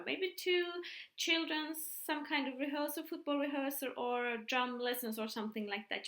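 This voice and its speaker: A middle-aged woman speaking English with a Finland-Swedish accent, her voice bright and fairly high. She chats casually and hesitantly, with many fillers, and the room around her is echoey. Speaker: podcast host. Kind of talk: casual chat. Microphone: computer mic.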